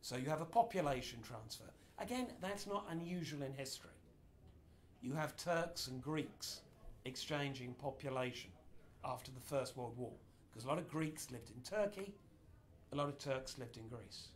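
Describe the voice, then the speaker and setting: Middle-aged man, informal lecturer, animated delivery, mid-range pitch, small room. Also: normal speed. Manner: animated, gesturing broadly while explaining. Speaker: speaker giving a history talk. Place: small indoor meeting room.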